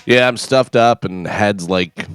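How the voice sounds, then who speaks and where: A man's voice: on the low side, slow pace, a bit bright, clear, resonant tone, no room sound, clean studio sound, faint music behind. A man co-hosting a morning radio show, congested from travel, telling joking stories. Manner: joking storyteller. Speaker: radio co-host. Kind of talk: casual morning radio chat. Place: radio studio.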